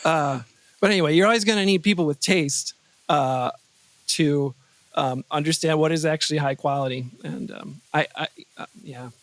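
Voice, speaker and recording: A man being interviewed as a podcast guest, speaking casually in a thoughtful, halting way with wry asides, close to the microphone. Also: a little high, no echo. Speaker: podcast guest, composer and sound designer.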